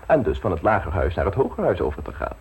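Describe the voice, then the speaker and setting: An actor playing a suave, ambitious gentleman politician in an old radio play, polished, self-assured and teasingly frank. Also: low-pitched, sing-song, quick pace, breathy edge, exaggerated voice, low-quality recording. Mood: assured, scheming.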